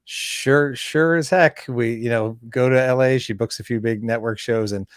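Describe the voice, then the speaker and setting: A Canadian man chatting casually as an interviewer, wordy and halting, often breaking off and restarting his sentences. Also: medium pitch, fairly even intonation, dry recording, clean studio sound. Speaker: interviewer and filmmaker. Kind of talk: casual podcast interview chat.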